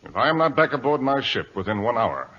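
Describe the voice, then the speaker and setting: A self-possessed British naval captain played by a man speaks in an old radio drama. His delivery is clipped and commanding, swinging widely in pitch. The recording has the dull sound of an aged broadcast. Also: sing-song, fairly quick, lightly acted. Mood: firm.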